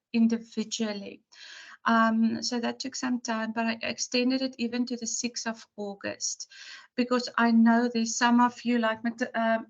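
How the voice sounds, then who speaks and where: A woman gives an online university lecture in a hoarse, croaky voice with a gravelly edge. She pitches a little high for a woman and talks in a chatty, rambling way, often apologizing. She is heard over a video call. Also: lo-fi sound.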